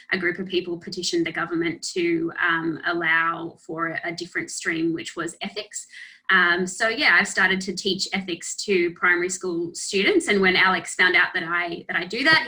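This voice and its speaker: A woman talks over a video call, calmly laying out her background. Her voice sits a little low yet sounds bright and clear. She pads her thoughts with soft "um"s. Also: low-quality recording. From Australia. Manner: friendly, explanatory, "um" fillers. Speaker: ethics teacher, co-presenter. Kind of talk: casual presentation on a video call.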